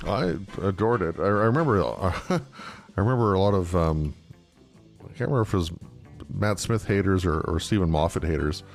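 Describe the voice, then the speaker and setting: A man chatting casually as a podcast host, in a hesitant, halting way. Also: sits low, lively intonation, creaky, faint music behind. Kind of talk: casual fan podcast chat.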